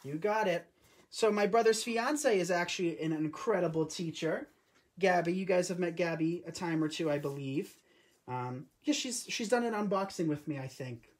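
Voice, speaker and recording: A man's voice: sits high, normal speed. A man in his early twenties hosting a live crafting stream from a home craft room, talking loosely and conversationally. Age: 20s. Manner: chatty, loose and conversational with frequent restarts. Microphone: camera or phone on a tripod over the table.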